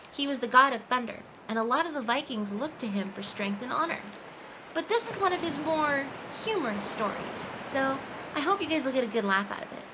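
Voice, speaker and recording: A woman playing a sweet, playful mermaid who tells stories to children in an animated, coaxing way. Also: a little high, close to an everyday voice. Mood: excited, inviting. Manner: animated, coaxing, asks listeners questions. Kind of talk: children's storytelling, addressed to the audience. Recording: faint background ambient noise.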